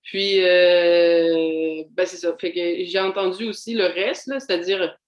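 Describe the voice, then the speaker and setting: A young adult woman with a Québécois accent gives a candid, reflective personal testimony, pausing often to find her words. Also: on the low side, steady tone, reverberant. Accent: Québécois French. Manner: candid, reflective, halting with frequent fillers. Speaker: doctoral student giving a faith testimony. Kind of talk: personal testimony in a video seminar.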